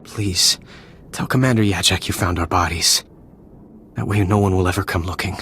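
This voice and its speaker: A stammering deserter soldier. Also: male voice, low voice, steady tone, moderate pace, fairly bright tone, a little hiss or hum. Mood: pleading. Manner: stammering, nervous, pleading. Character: weary young male deserter soldier, nervous. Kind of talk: video game cutscene.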